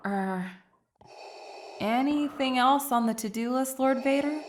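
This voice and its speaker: A woman playing a brisk, sardonic rogue agent in a comic dub, casual and businesslike. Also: sits high, slight background noise. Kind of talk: dramatic comic dub acting.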